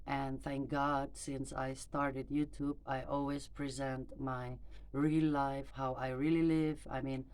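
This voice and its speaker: A woman's voice: rumbling low, flat delivery, takes it slowly, a little mellow. A woman in her forties who is not a native English speaker, talking hesitantly with many fillers into her phone camera for a personal vlog. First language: neither English nor German. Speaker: mother vlogger.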